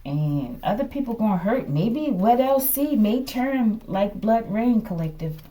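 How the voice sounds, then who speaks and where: A woman with an American accent and a soft-edged, mellow voice gives an off-the-cuff card reading. She muses aloud and keeps checking in with her viewers. The microphone sits a little away from her. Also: mid-range voice, lively intonation, measured pace, far from the mic, rough recording. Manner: musing, often checks in with okay. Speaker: tarot reader. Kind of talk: casual improvised card reading addressed to viewers.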